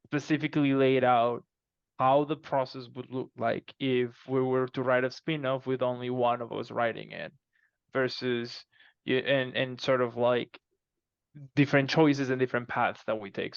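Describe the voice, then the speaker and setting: A man answering conversationally as a podcast guest, halting and repeating words as he starts phrases. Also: mid-range voice, steady tone, creaky, smooth, no room sound, dull audio. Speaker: podcast guest, fiction co-author.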